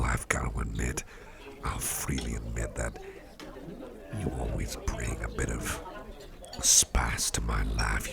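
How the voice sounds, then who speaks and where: A man playing a friendly, affectionate office boss in an ASMR roleplay, murmuring in a breathy half-whisper and rambling gently as he repeats himself. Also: a bass voice, exaggerated voice. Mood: warm, grateful. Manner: hesitant, repetitive, gently rambling. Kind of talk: ASMR roleplay, intimate personal attention. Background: music.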